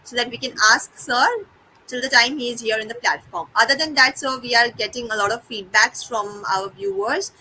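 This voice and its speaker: Bright polite woman hosting. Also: on the high side, moderate pace, rough recording. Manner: polite and formal, addresses the guest as sir. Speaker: host of an online medical talk. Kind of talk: formal hosting. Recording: faint background noise.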